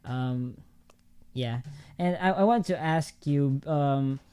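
A man hosting a podcast, asking questions in a halting, friendly way. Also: mid-range voice, warm tone, clean tone. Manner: halting, many fillers and restarts, friendly. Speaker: podcast host. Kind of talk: casual interview chat.

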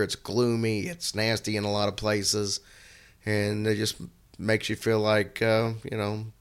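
Male podcast co-host, low and gravelly, hoarse with a cold, earnest and rambling. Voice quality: raspy. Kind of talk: casual chat.

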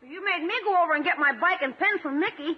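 A man's voice: extremely high pitch, fast talker, dull audio. A male performer playing a cheeky, teasing young nephew with a brash, needling manner in a 1950s radio comedy. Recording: very noisy old radio recording.

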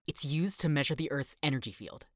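A woman's voice: sits low, fairly quick, no room sound, clean studio sound. A woman playing an earnest, nerdy twelve-year-old boy in an audio drama, eager and matter-of-fact.

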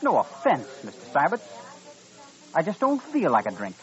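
Proud young Southern gentleman, higher-pitched. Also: huge pitch swings, normal speed. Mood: polite, guarded. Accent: American South. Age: young adult. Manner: formal, deferential, says sir often. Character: young hot-headed Southern gentleman, proud and stiffly polite. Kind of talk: 1950s radio western acting. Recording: dull, lo-fi old radio recording.

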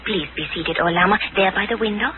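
An actress playing a coy, poised Chinese antiques dealer with formal, measured politeness in a 1940s radio drama. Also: female voice, mid-range voice, natural voice. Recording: noisy, tinny old radio recording.

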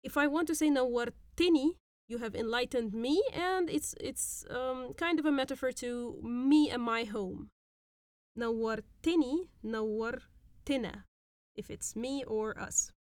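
A woman hosting a podcast and teaching phrases in a patient, explanatory way, repeating words slowly. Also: a little high, darkish tone, no echo, clean studio sound. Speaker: podcast host and Arabic teacher. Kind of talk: language lesson explaining phrases.

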